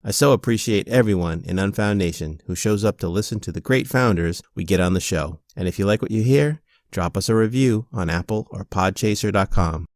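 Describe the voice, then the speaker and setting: A man's voice: fairly low pitch, a little fast, dry recording, clean studio sound. A man hosting a podcast interview, asking questions in an upbeat, enthusiastic way. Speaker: podcast host.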